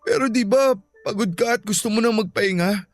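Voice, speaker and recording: A man's voice: high-pitched, fairly quick, faint music behind, close to an everyday voice. A man playing a possessive, hot-tempered young boyfriend who pleads dramatically in a Filipino radio drama. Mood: contrite, hopeful.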